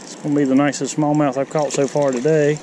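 A man's voice: flat delivery, fast talker, mellow, slight background noise. A man talking casually while he fishes, standing in a shallow river with a head-mounted action camera. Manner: low-key, talks to himself while reeling in fish. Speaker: angler filming his catch. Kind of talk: casual fishing commentary.

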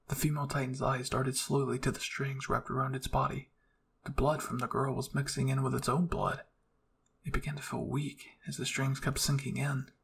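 A man narrating a scripted story in a measured, dramatic audiobook style. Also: mid-range voice, creaky, fairly bright tone, a little hiss or hum. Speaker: narrator reading fan fiction.

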